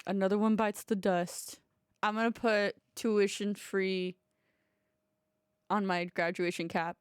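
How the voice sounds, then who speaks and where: A woman's voice: medium pitch, steady tone, takes it slowly, clear, resonant tone, no echo, clean studio sound. A woman in her thirties hosting a casual podcast, talking in a chatty, rambling way with lots of "like" and easy giggles. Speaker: podcast host.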